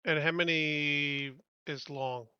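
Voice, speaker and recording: A man's voice: on the high side, fairly even intonation, takes it slowly, bright, forceful tone, smooth, dry recording, lo-fi sound. A man playing a tabletop game, chatting casually and giving his choices in a direct, decisive way.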